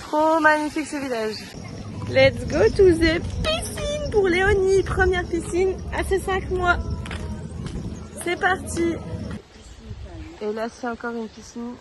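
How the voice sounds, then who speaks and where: A woman's voice: very high-pitched, very animated, slow, pauses often. A woman in her thirties, a mother, cheerfully narrating a family holiday vlog to her handheld camera. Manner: chatty, cheerful, talking to her children and to viewers. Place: outdoors on a holiday trip. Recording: noisy outdoor background, passing vehicles.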